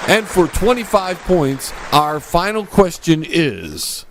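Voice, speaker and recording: A man's voice: on the high side, very animated, takes it slowly, crowd noise, noisy background, exaggerated voice. A man playing a brash parody game show host, announcing in a showy way.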